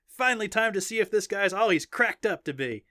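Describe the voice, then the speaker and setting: A man's voice: high-pitched, animated, fairly quick, sharp tone, no room sound, studio quality, voice pushed up. A man voicing a confident, focused male fighter in an audiobook, eager to prove himself. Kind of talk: audiobook character dialogue.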